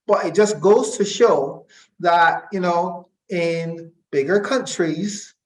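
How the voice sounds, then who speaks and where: A middle-aged man with a fairly high voice talks in a very echoey room. He is an interview guest who explains things deliberately, repeating phrases to drive points home. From Barbados. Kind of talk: reflective conversational interview.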